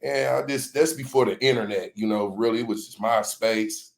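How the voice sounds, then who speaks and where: A man being interviewed as a music promoter, telling his story in relaxed, slang-filled casual talk. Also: on the low side, normal speed, vocal fry. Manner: laid-back slang-heavy storytelling.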